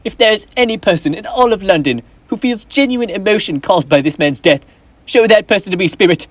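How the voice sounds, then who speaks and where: A man's voice: high voice, animated, brisk, no room sound. A teenage boy acting an old male miser on a school stage, pleading earnestly and theatrically. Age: teens. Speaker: stage actor. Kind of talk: high school stage play. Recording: guitar music behind.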